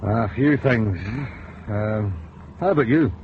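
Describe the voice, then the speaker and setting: An actor playing a hesitant detective reporting to his boss, halting and careful. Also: fairly low pitch. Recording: dull old radio broadcast with faint noise.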